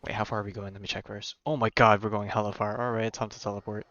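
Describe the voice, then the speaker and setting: A man's voice: on the low side, level tone, fast talker, fairly bright tone, muffled recording. A man giving casual gameplay commentary as a streamer, informal and slangy. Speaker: game streamer.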